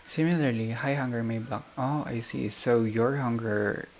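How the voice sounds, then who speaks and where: Creaky-voiced gamer reading visual novel text. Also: medium pitch, moderate pace, a little mellow. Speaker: gaming video creator playing a visual novel. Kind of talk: reading game text aloud with casual reactions. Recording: clearly noisy home recording, unstable audio.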